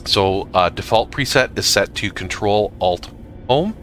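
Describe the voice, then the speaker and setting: A man narrating a casual software tutorial for gamers in a halting way, often pausing mid-sentence. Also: on the low side, expressive, a little hiss or hum. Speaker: gamer making a tutorial video.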